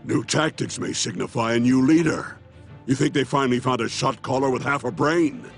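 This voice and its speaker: Man as a gravelly, gruff beast soldier. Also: medium pitch, normal speed, lightly acted. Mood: confident, scornful. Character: gruff, confident male Charr beast soldier hero. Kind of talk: video game voice acting. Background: game music.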